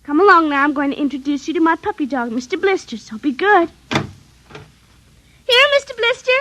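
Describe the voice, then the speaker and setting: A woman playing a bossy, excitable little girl in an old radio drama, childlike and insistent. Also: high voice, normal speed, a little hiss or hum. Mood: bossy, playful. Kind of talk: 1950s radio acting.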